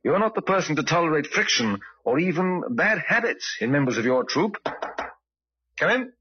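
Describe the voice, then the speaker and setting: An actor playing a courteous, shrewd police inspector in an old radio drama, formal and probing in manner. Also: male voice, a little high, very animated, normal speed, husky. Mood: polite, probing. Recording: dull old radio recording.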